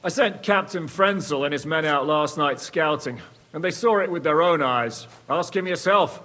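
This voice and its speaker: An actor playing a crude, hearty, shrewd medieval war commander in a video game cutscene, speaking emphatically. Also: male voice, a little high, normal speed, pushed and bright, big-room sound. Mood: gloating. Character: boisterous, foul-mouthed medieval war commander, shrewd and gloating.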